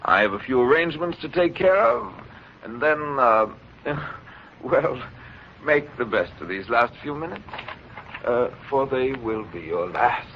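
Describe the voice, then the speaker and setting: An actor playing a coldly calculating megalomaniac doctor, speaking in a measured, pompous, clinical way in 1940s radio style. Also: male voice, mid-range voice, animated. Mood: coolly menacing. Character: coldly calculating male doctor, megalomaniac villain experimenting with fear. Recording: muffled, noisy 1940s radio recording.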